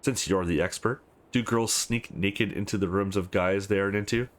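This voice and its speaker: A man's voice: fairly low pitch, fairly even intonation, normal speed, close to an everyday voice. A man voicing the wry, bantering male hero of a visual novel in a joking, sarcastic manner.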